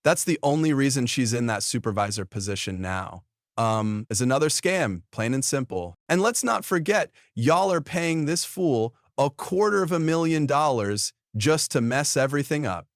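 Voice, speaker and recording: Man, online commentary host, mocking, opinionated, mid-pitched, dry sound. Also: lively intonation, moderate pace, no echo. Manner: blunt, mocking, taunting.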